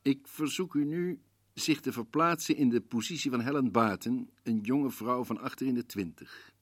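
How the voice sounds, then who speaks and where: An actor playing a portly, combative older professor-detective who gravely recounts a case in a radio play. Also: male voice, mid-range voice, no room sound, studio quality, lightly acted. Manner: grave, slow, telling a story to the listener. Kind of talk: 1950s radio drama narration.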